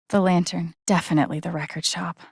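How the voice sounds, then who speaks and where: A woman's voice: fairly low pitch, gravelly, a little mellow, breathy edge, no echo, exaggerated voice. Young american woman from a video game, heartfelt n a bit wry, natural chatty delivery, super dry recording. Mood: warm, teasing. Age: young adult. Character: young woman, sincere, emotionally open, gently wry game heroine.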